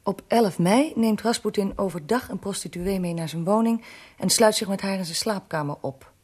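Actress as female reporter, husky voice, medium pitch, probing, matter-of-fact, radio play. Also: moderate pace, a little mellow, natural voice. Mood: reading drily. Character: probing, sceptical female radio reporter interviewing historical figures. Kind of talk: radio drama acting as reportage.

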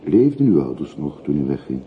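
An actor playing an earnest railway porter in a radio play, formal and deferential yet full of probing questions. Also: male voice, rumbling low, big pitch swings, fairly quick. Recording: muffled phone-like old radio recording, faint hiss.

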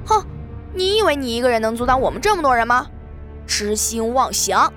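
A scornful immortal demon huntress, haughty and imperious. Her voice is fairly high, delivered in audio drama style over clear background music. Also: very animated, a little fast, fairly bright tone. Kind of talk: Chinese audio drama acting.

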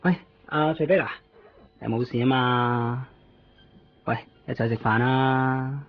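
A man's voice: mid-range voice, fairly even intonation, slow. A man acting a sociable, casual office worker in a conversational radio drama. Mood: casual concern. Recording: dull 1990s radio recording, crowd behind.

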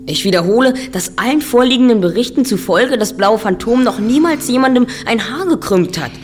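A woman's voice: fairly high pitch, lively intonation, a little fast, soft background music, natural voice. A woman playing a self-assured, brainy teenage boy detective in an audio drama, precise and a little pompous. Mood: confident, lecturing.

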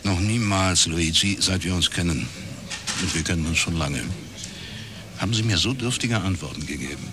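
An actor playing a wry, laconic private detective with a husky voice, in radio crime drama narration and dialogue. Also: male voice, deep voice, moderate pace, noisy background, lightly acted. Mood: probing. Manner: dry, deadpan, understated. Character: wry, laconic male East Berlin private detective, ex-academic.

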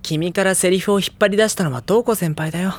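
An actor playing a gentle, earnest high school boy who speaks and narrates sincerely in an audio drama. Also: quick pace. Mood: earnest, warm.

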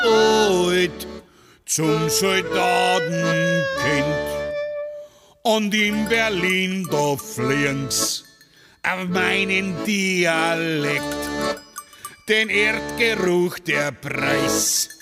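An actor playing an aging, fawning poet in hunting garb, talking and singing in a folksy, sing-song way. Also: male voice, high voice, full character voice. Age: older. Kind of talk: radio play acting with singing. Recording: loud music behind the voice.

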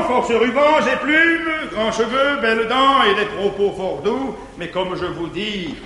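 A domineering, pompous guardian. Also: male voice, pushed and bright, full character voice. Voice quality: declamatory, booming. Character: jealous middle-aged male guardian, domineering and pompous. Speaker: stage actor. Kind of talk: 1950s French stage verse acting. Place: theatre stage. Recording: echoey theatre hall, faint hiss, 1959 live stage recording.

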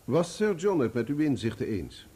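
An actor playing a courteous, methodical lawyer questioning witnesses formally in a radio play. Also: male voice, medium pitch, sing-song, normal speed, mellow, slightly rough. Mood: courteous, probing.

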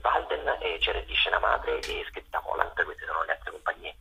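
A man interviewed on the radio over a noisy phone line, halting and searching for words as he talks. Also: low-pitched, very animated, gravelly, bright, forceful tone, husky. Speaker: theatre festival organiser, radio guest.

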